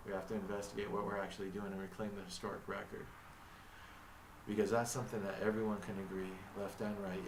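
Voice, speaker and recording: A man whose first language is Arabic, thinking aloud in a reflective monologue, halting and restarting as he reads from notes. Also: on the low side, far from the mic, noisy background. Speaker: vlogger narrating his own story. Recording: echoey room, mic set back.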